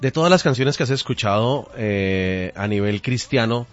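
A male radio host chats in a joking, enthusiastic way at a middle pitch. The sound is a bit muffled. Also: animated, normal speed. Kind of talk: radio interview. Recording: slightly muffled radio studio.

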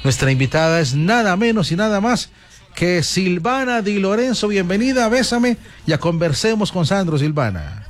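A man's voice: high voice, very animated, normal speed, faint music behind. A man hosting a talk show, telling a fable to his audience and greeting them effusively. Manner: effusive, repeats "gente linda" often. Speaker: host.

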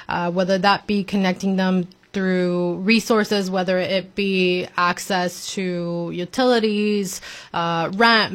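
Earnest woman. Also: mid-range voice. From Mexico. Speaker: nonprofit executive director being interviewed. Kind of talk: conversational interview answers.

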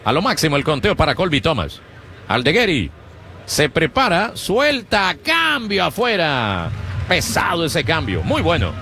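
Animated man calling baseball on radio. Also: a little slow. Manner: animated, rhythmic pitch-by-pitch calling. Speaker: play-by-play announcer. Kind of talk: Spanish-language baseball radio commentary.

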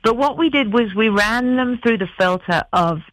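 A middle-aged English woman chatting as a guest in a radio interview, quick to laugh. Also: a little high, animated, no room sound, low-quality recording. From England. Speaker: guest singer.

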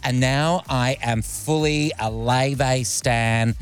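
A man's voice: medium pitch, slow pace, smooth, faint music behind. A man with an Australian accent chatting as an interviewer in a gushing, enthusiastic way.

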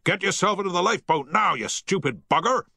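A man's voice: fairly high pitch, very animated, brisk, fairly bright tone, no room sound, clean studio sound, pitched above the performer's own voice. A man voicing a commanding ship captain under fire who barks his orders, his voice raised well above his own.

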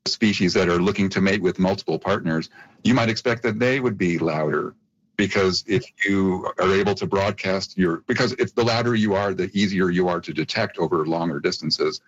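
Low-voiced male researcher, lo-fi clip. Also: normal speed. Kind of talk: interview clip.

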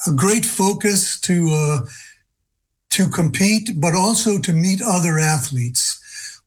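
A man in his early seventies with a somewhat high voice for a male speaker. He talks conversationally as an interview guest, in a halting way with frequent hesitations. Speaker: interview guest, senior games athlete.

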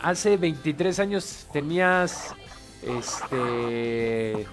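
A Mexican radio host talking in a high, very lively voice that jumps all over, teasing and joking with his callers. Music plays clearly under his voice in the studio, like on a radio broadcast. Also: extremely slow. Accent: Mexican Spanish. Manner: playful, teasing, jokey. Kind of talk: morning radio show with callers.

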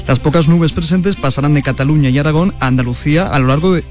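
A man's voice: fairly high pitch, quick pace, a little mellow, faint music behind. A man with a Castilian Spanish accent reading a scripted radio weather report.

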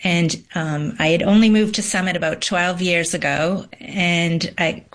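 A thoughtful middle-aged woman from Massachusetts answers a panel question in a reflective, conversational way. She has a slightly low voice and stops for small ums as she gathers her thoughts. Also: fairly even intonation, a little slow. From Massachusetts, USA. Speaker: panel guest, garden club board member.

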